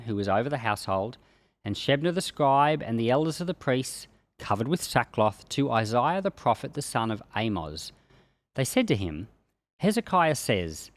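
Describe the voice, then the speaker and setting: Expressive man reading scripture with wide-ranging pitch. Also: dry recording. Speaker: pastor reading a Bible chapter aloud for a podcast.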